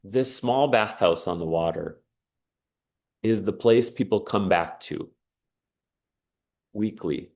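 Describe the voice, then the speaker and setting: A man's voice: sits low, slow, vocal fry, long pauses, darkish tone. Earnest man giving an inspirational talk. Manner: earnest, emphatic, storytelling. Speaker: speaker on sauna and resilience.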